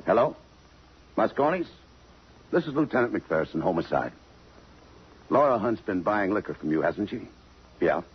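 An actor playing a tough, terse, dryly commanding homicide detective in a 1950s radio drama. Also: male voice, fairly low pitch, sing-song, a little slow, pauses often, husky, full character voice. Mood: businesslike. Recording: muffled, noisy 1950s radio broadcast.

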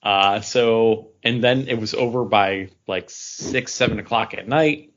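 Low-voiced man hosting a casual podcast. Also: measured pace, a bit bright, slight background noise. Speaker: podcast host. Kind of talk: casual chat among friends. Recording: dull, lo-fi audio.

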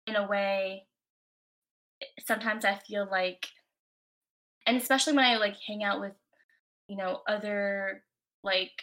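A woman's voice: mid-range voice, steady tone, a little slow, pauses often, pushed and bright. A young American woman from Florida, a guest in a casual interview, talking loosely with lots of "like" and "you know". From United States. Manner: chatty, many "like" and "you know" fillers. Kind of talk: casual conversation.

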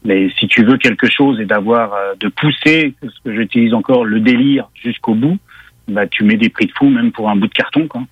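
A man's voice: medium pitch, moderate pace, breathy edge, no room sound, phone-quality sound. A man with a Parisian French accent, a car magazine editor explaining at length as a radio guest, with many hesitations.

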